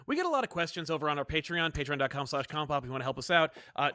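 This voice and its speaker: A man's voice: on the high side, sing-song, very fast, sharp tone, clear, resonant tone, no room sound, studio quality. A man hosting a podcast in casual chat, joking and turning theatrical when he quotes people. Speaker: podcast host.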